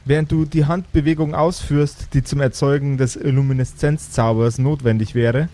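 A man narrating an improvised fantasy tabletop game as game master, with deliberate, theatrical phrasing and pauses, over faint noise. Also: medium pitch, steady tone, mellow.

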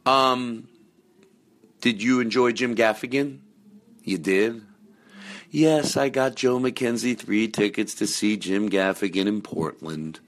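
A man's voice: on the low side, slow pace. Goofy man hosting a comedy podcast. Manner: goofy, playful, earnest asides. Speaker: podcast host. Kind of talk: casual comedy podcast chat.

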